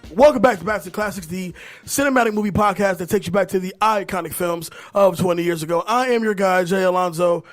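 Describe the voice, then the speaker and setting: A man hosting a casual movie podcast, bantering in an animated, profane way. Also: sits high, quick pace, faint music behind. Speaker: podcast host.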